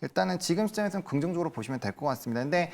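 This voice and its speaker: A man's voice: on the high side, animated, racing, vocal fry, a little mellow. A man speaking as a stock analyst, explaining his opinions in unscripted, conversational market commentary.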